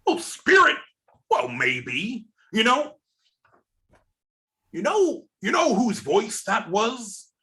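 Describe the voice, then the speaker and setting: A man's voice: high voice, wildly swooping pitch, slow, pauses often, sharp tone, heavily acted. A man playing a wily, blustering pirate seaman in a radio play, speaking in pirate dialect. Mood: urging, blustering.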